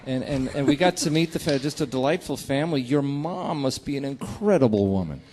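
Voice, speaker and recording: Animated male radio host, noisy live recording. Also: mid-range voice, fairly quick. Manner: jokey, folksy, stammering repetitions. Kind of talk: live stage introductions and interview chat. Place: live stage show.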